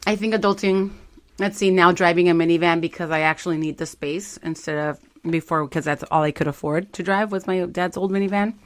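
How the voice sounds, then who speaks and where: A woman in her forties co-hosting a casual chat show, animated and playful, jumping into high quoted voices. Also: brisk. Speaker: podcast co-host.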